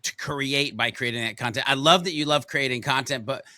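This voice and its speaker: A man's voice: medium pitch, fairly quick, bright, forceful tone, no room sound, clean studio sound. A man hosting an interview show, upbeat and enthusiastic as he asks his guest questions. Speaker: podcast host. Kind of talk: casual interview chat.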